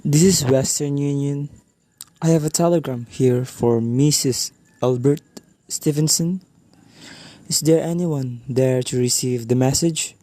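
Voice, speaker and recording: A man playing an impassive telephone and telegram operator in a radio play, formal, detached and measured. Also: medium pitch, warm tone, no room sound, natural voice. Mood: detached, businesslike.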